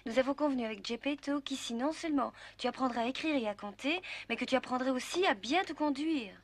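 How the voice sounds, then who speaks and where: High-voiced, gently strict cartoon guardian. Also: female voice, fast. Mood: firm, kindly. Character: firm, patient female guardian and teacher. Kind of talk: French cartoon dub. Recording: music behind the voice.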